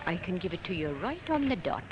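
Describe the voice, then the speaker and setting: Lively, chatty post office woman. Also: lowish voice, brisk. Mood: chatty, helpful. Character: chatty, obliging female post office clerk. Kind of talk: radio crime drama acting. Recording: muffled, noisy old radio recording.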